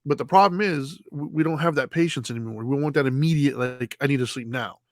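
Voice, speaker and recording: A man co-hosting a casual talk show, explaining sleep habits in an easygoing way, often halting and restarting his sentences. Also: mid-range voice, no room sound, clean studio sound. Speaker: podcast co-host.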